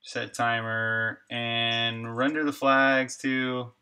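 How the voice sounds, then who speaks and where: A man's voice: medium pitch, completely flat delivery, very slow, pushed and bright, smooth. A man streaming his game development live, talking casually through his code and reacting to chat. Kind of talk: live coding stream, thinking aloud.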